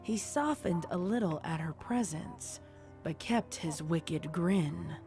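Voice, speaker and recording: A woman's voice: on the low side, lively intonation, takes it slowly, music in the background. A woman narrator reading a scripted story with dramatic storytelling delivery.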